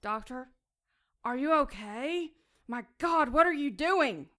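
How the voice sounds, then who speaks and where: An actress playing a horrified, panicked nurse in an audio drama, shrill and desperate. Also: female voice, expressive, slow, bright, forceful tone, heavily acted. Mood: alarmed.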